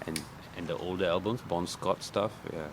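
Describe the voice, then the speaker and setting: A middle-aged Malaysian man with a deep, low voice talks casually as a guest in an interview. He speaks haltingly and doubles back on his words. Noticeable city traffic noise sits behind him. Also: steady tone. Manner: halting, repeats words and phrases. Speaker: guest, guitarist.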